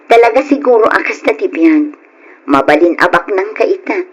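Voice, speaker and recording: Husky, heartfelt Ilocano wife. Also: female voice, medium pitch, expressive, moderate pace. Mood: tearful, pitying. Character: hardworking married woman selling live online, sentimental, determined. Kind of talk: Ilocano radio drama acting.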